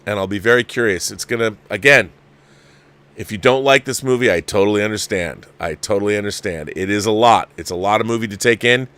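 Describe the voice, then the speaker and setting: A man's voice: lowish voice, a bit bright. A man hosting a film review livestream, chatting off the cuff to viewers in a rambling, emphatic way and often repeating his phrases. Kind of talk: casual off-the-cuff movie review chat to viewers.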